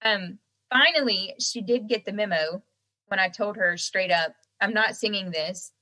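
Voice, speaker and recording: A young adult woman with a Southern American accent, telling stories as a guest in a casual interview, animated and laughing often. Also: medium pitch, unhurried, low-quality recording. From Southern United States. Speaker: guest singer-songwriter.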